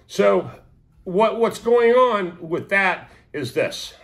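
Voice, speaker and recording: A man's voice: high voice, animated, slow pace, creaky, a bit bright. Rambling sniffly man in his sixties. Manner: rambling, many fillers and restarts. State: sniffly, stuffed-up sinuses. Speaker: vlogger. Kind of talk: casual talk to camera.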